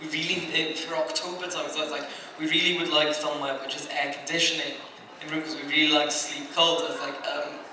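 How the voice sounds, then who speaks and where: A man's voice: fairly high pitch, flat delivery, quick pace. A man telling a casual, wryly humorous anecdote as a guest on a panel. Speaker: panel guest from the anime industry. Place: convention panel room. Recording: echoey hall, distant, noisy.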